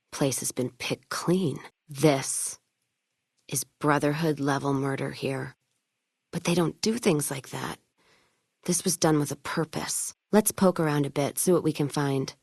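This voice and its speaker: A woman's voice: sits low, measured pace, creaky, a little mellow, no room sound, studio quality, heavily acted. A woman playing a tough, blunt, hard-bitten caravan owner in a video game, with a sardonic manner. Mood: grim, determined. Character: tough, foul-mouthed, whiskey-drinking woman caravan owner of the wasteland. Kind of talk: video game character voice lines.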